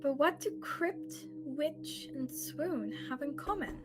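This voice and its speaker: A woman's voice: high-pitched, slow, music in the background, poor audio. A young woman playing a determined, emotional amateur sleuth in radio theatre style. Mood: eager, puzzling. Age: young adult.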